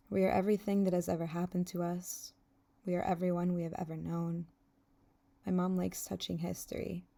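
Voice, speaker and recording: Mellow, creaky-voiced young woman narrating. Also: lowish voice. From England until age ten, then the US. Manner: reflective, wistful. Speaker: vlogger narrating her own video. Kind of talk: scripted reflective voice-over narration.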